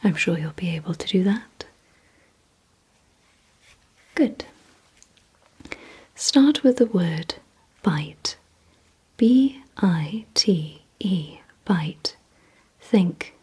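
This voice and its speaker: A woman whispering an ASMR roleplay as a composed, commanding vampire, giving slow, deliberate, coaxing instructions in a breathy voice. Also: lowish voice, animated, a little mellow. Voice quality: breathy, mostly unvoiced whisper. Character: composed, commanding female vampire quizzing her listener. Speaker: ASMR performer.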